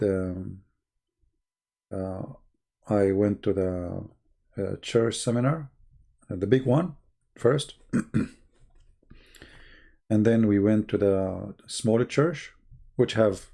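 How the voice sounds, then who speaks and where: Guy streaming live, english not his first language, soft dark voice w lots of fry, halting casual storytelling. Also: fairly low pitch.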